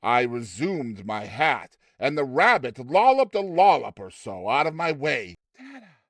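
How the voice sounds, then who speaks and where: A man narrating a story in an expressive, dramatized reading style. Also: medium pitch, a little slow, no echo, clean studio sound.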